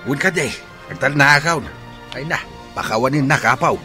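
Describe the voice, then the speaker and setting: A man's voice: medium pitch, lively intonation, normal speed, pushed and bright, soft background music. A man with an Ilocano accent playing an older, grumbling father who mutters carping comments in a radio drama. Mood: flustered. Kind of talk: Filipino radio drama acting.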